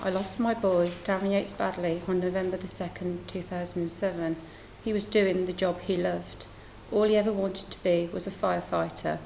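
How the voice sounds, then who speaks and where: A middle-aged woman reads a prepared statement as a bereaved mother at a press briefing. Her voice sits fairly low, and there is some room noise in the recording. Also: level tone, normal speed, creaky, hardly any pauses, a little mellow, low-quality recording. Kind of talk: reading a prepared personal statement at a media briefing.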